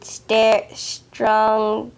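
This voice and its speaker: A woman reading a story aloud as an amateur, haltingly, often stumbling over words and correcting herself. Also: a little high, monotone, clean tone. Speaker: amateur fan fiction reader.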